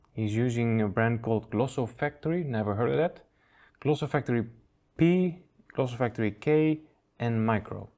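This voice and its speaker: A man's voice: mid-range voice, darkish tone. A man hosting a casual review show, easygoing and chuckling often as he trails off and restarts sentences. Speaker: aquascaping channel host.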